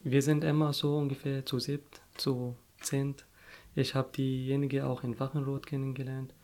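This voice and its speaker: A man's voice: mid-range voice, little pitch movement, moderate pace, a little mellow. Young man, Arabic native, talking freely. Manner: searching for words, frequent restarts and fillers. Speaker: interviewee. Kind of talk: casual interview talk.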